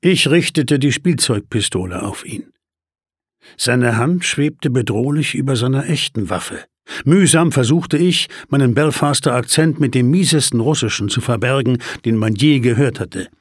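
Guy reading a heist thriller, husky voice, dry close mic, tense and measured. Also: mid-range voice, animated, no room sound. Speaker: audiobook narrator. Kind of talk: first-person audiobook narration.

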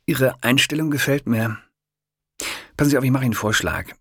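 A man voicing a chatty, pushy middle-aged male kiosk owner who talks fast, eagerly and stumbles over his words in a storybook reading. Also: medium pitch, fairly bright tone, husky, no echo, studio quality, pitched above the performer's own voice.

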